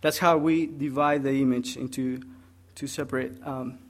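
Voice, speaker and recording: A young man from Peru presents a technical topic at a conference. He talks haltingly, with many ums, walking through each point step by step. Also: mid-range voice, steady tone.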